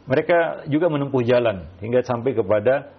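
A man with a gravelly, creaky voice teaches religion in answer to questions. He speaks haltingly, often tagging his phrases and slipping into Arabic quotations. The sound is muffled and lo-fi. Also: mid-range voice. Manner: halting, repeats filler tags, quotes Arabic phrases. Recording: muffled, dull lo-fi audio.

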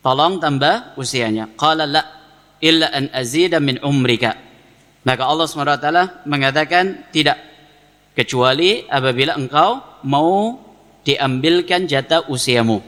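A man's voice: a little high, normal speed, a bit bright. A man with a Javanese Indonesian accent giving an Islamic lecture, explaining didactically with repeated phrases and questions he answers himself. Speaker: Islamic preacher teaching a congregation. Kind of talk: religious lecture, reading Arabic text then explaining it. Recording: long reverberant hall echo.

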